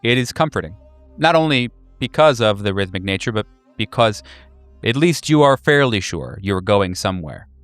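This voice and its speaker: A man running a horror tabletop game, narrating atmospherically in a measured, deliberate way. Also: on the low side, sing-song, a bit bright, no room sound, faint music behind.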